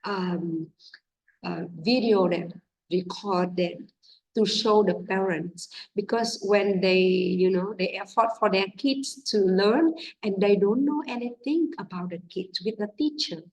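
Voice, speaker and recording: Slow-talking husky woman teacher. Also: mid-range voice. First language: Vietnamese. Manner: halting, many fillers, non-native English. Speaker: English teacher training other teachers. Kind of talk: informal teaching guidance. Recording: distant from the mic.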